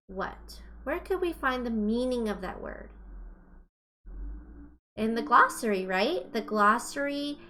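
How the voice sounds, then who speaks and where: A woman's voice: fairly high pitch, a bit bright. A woman teacher reading aloud to young children, explaining as she goes and asking questions in an exaggerated, encouraging way. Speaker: kindergarten teacher.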